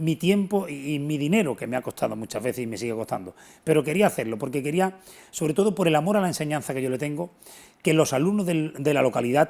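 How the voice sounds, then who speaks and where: A middle-aged man speaks at a quick clip in a slightly high voice. He is a local historian being interviewed, explaining things earnestly and with emphasis. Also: vocal fry, darkish tone. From Antequera, southern Spain. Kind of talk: unscripted television interview answers.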